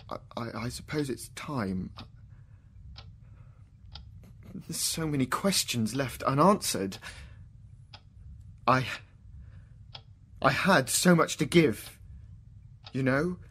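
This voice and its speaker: An actor playing an anguished, logical mathematician in a radio drama, speaking emotionally and haltingly as he pleads to be understood. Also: a little high, a bit bright, husky, heavily acted. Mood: resigned, wistful. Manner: emotional, halting, sighing delivery.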